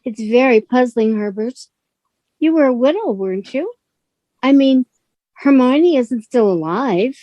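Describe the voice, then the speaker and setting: A woman's voice: high voice, expressive, unhurried, dry recording, dull audio. A woman playing an affectionate, curious, easily vexed young newlywed wife in a radio suspense play. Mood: puzzled, uneasy.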